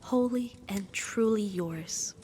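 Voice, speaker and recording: A woman playing a powerful demon devoted to her master in an intimate ASMR roleplay, speaking formally in a soft, breathy voice that often drops to a whisper. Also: medium pitch, a little hiss or hum, lightly acted. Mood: blissful, hungry. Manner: formal, devoted, slow and hesitant phrasing.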